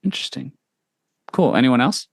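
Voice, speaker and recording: Young male podcast host, mid pitch, casual and encouraging, dry close-mic sound. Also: creaky, slightly rough, no echo. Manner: relaxed, full of "like" and "you know", quick to agree and encourage. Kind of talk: casual interview chat.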